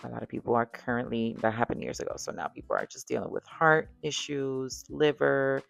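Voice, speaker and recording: Podcast host, woman with a Dominican accent, very gravelly, candid and chatty, soft music underneath. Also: extremely low pitch, animated, fairly quick. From Dominican background. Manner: chatty, candid, lots of fillers and asides. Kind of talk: casual personal talk to camera.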